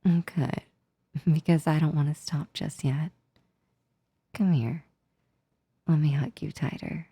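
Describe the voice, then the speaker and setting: A soothing, affectionate demoness acted by a woman for an ASMR roleplay. Her soft, breathy voice sits low with a gravelly fry. She reassures tenderly and intimately, very close and dry. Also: fairly even intonation, no echo, heavily acted. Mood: reassuring, affectionate. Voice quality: soft, breathy, often near whispering. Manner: slow, soothing, tender, unhurried. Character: gentle, comforting female sleep paralysis demon, affectionate and reassuring.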